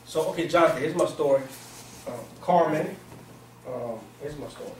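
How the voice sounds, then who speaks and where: A man in his forties speaks informally to a small group as a seminar speaker, with streetwise phrasing and English as a second language. His voice sits a little high. It is caught from across an echoey conference room with faint noise. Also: normal speed, a little mellow. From Pakistan, grew up in Virginia, US. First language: not English. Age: 40s. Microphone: far camera microphone. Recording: echoey room, distant camera mic, noisy.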